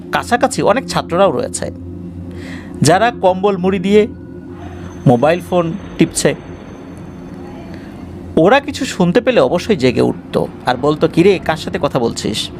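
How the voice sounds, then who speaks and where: A man's voice: sits high, big pitch swings, a little slow, vocal fry, long pauses, noisy background. A man narrating a scripted first-person ghost story in a dramatic way.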